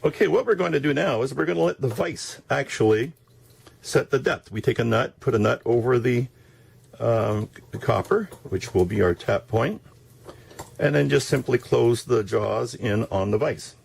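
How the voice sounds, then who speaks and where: A man giving a casual, halting, step-by-step demonstration of a hands-on job. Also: mid-range voice, vocal fry, breathy edge. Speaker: DIY demonstrator.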